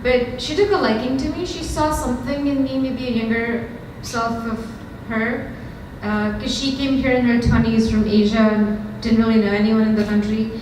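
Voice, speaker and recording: A young woman from India tells her own story to an audience in an Indian accent, candid and conversational with plenty of ums. Her voice sits slightly high. It carries from a distance through a very echoey hall with steady background noise. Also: moderate pace, hardly any pauses. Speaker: startup founder giving a talk. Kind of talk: storytelling lightning talk. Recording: very echoey hall from far off, noisy.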